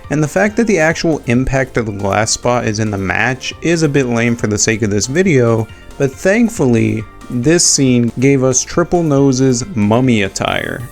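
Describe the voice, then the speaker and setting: A man's voice: medium pitch, lively intonation, moderate pace, creaky, few pauses. Man narrating sweary video commentary over music. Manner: sweary, opinionated fan talk. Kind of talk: casual retrospective video commentary.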